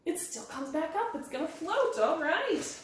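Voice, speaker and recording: Animated woman hosting children's storytime, very echoey. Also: very high-pitched, unhurried. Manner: sing-song, encouraging, asks the children questions. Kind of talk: interactive children's storytime and activity. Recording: very echoey, roomy sound.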